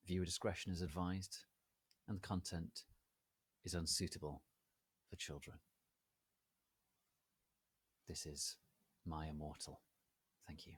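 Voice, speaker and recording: Slow, low-voiced man, solemn formal narrator. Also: slightly rough, dry recording. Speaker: narrator, host of a serial reading. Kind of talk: formal scripted introduction to a dramatic reading.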